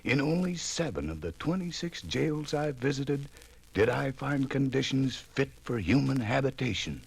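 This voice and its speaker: An actor playing an earnest reforming minister in a 1950s radio drama, speaking in formal, emphatic oratory. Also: male voice, medium pitch, expressive, moderate pace, gravelly, breathy edge. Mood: indignant. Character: earnest reforming minister delivering a grave report.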